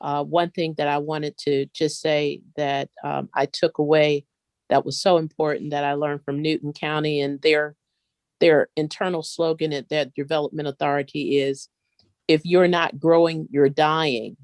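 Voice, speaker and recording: A woman board director giving earnest, polite, measured remarks in a virtual meeting. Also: sits low, little pitch movement, darkish tone, no echo.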